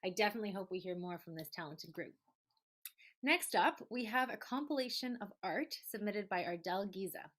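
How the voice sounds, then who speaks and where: A woman's voice: mid-range voice, moderate pace, fairly bright tone. A woman presents a show in a casual, chatty way. Her mid-range voice is enthusiastic and effusive as she links one segment to the next. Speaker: show host.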